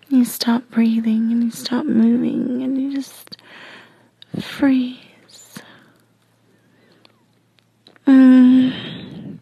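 A woman narrating intimate, sensual first-person erotic audio for adults in a hushed, breathy whisper. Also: fairly high pitch, no echo. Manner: hushed, slow, sensual delivery.

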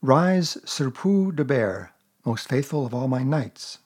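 A man voicing an earnest, dreamy little boy, pitching his husky voice up and raising it eagerly. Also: animated, slow, a little mellow.

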